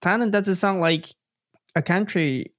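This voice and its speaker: A man's voice: high-pitched, measured pace, a bit bright. A man hosting a podcast interview, reacting with easy enthusiasm and steering with leading questions. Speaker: podcast host. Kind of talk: casual interview.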